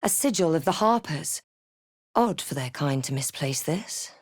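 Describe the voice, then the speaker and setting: A fantasy game adventurer, snarky and courageous, with a slightly low female voice. She speaks deliberately and with theatrical weight, as suited to spellcasting and storytelling. The sound is close and dry, free of room reflections. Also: lively intonation, slightly rough, no room sound, heavily acted. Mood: quietly curious. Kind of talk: video game voice lines, dramatic narration and spell chants.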